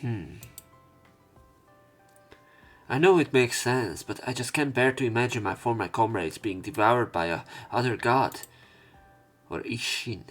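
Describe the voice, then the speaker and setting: A man narrating a visual novel let's play, reading the text aloud in a measured way with some stumbles. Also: mid-range voice, faint music behind. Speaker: let's player.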